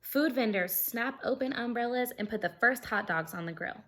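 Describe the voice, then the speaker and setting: A woman's voice: on the high side, moderate pace, fairly bright tone. A woman teacher reading a children's picture book aloud expressively, explaining things simply and putting questions to her young listeners.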